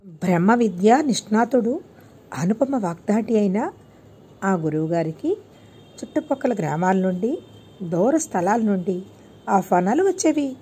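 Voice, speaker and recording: A woman's voice: medium pitch, lively intonation, measured pace, pauses often, dark tone, breathy edge. A woman narrating a scripted moral tale for children as an audiobook storyteller.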